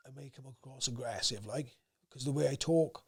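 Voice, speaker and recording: A young man with a South Wales valleys accent and a gruff voice, talking bluntly and swearing freely as a podcast guest. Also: medium pitch, normal speed. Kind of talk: casual interview chat.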